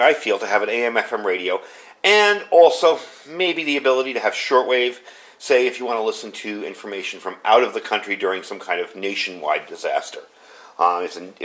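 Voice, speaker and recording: A man's voice: mid-range voice, a bit bright. A man hosting a casual product review, explaining things in an informal, colloquial way.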